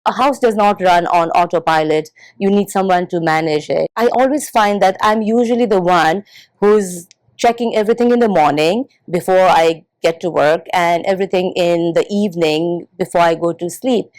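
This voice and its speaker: A woman hosting a podcast panel, giving deliberate, scripted introductions to her guests. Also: lowish voice, a little mellow, dry recording. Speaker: podcast host. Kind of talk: hosted podcast panel discussion.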